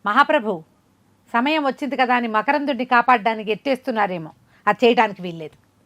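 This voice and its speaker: A woman's voice: a little high, fast, a bit bright, natural voice. A woman storyteller voicing a suspicious rival king, a folk-tale villain who objects in an accusing, assertive way. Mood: suspicious, objecting.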